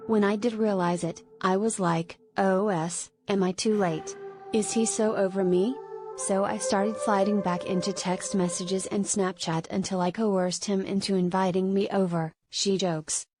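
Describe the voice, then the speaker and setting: A woman narrates a scripted celebrity news piece at a middling pitch. She reads it aloud in a measured way, with music playing behind her voice. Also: fairly even intonation, a little mellow.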